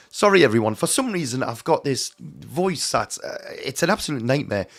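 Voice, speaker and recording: A hoarse man giving an earnest, emphatic teaching talk on mediumship, often calling his viewers his friends. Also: mid-range voice, sing-song, moderate pace, fairly bright tone. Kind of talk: direct-to-camera teaching talk.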